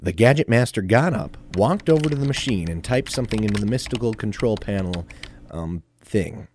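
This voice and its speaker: A man narrating a scripted comic adventure story, with occasional deliberate hesitations. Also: on the low side, lively intonation, a little mellow, slight background noise.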